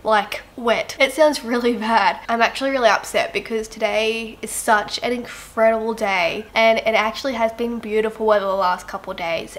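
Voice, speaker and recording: A woman's voice: a little high, fairly bright tone. Nasal, stuffed-up young woman vlogging. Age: young adult. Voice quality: nasal, congested. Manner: chatty, rambling, many fillers like "um" and "I mean, like". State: sick with a blocked nose and sore throat. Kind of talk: casual to-camera vlog talk. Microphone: camera close up at arm's length.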